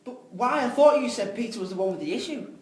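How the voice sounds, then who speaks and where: Man acting quick-talking inquisitive mate. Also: very high voice, animated, a little mellow. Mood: curious. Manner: informal, inquisitive. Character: curious, friendly male mate asking questions. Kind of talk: amateur audio drama reading. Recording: echoey room with background noise.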